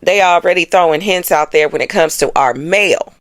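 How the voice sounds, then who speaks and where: A woman's voice: fairly low pitch, moderate pace, no room sound. An American woman hosting an astrology monologue, talking to viewers in a colloquial, emphatic, conversational way with frequent check-in tags. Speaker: astrology host. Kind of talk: casual explanatory monologue to viewers.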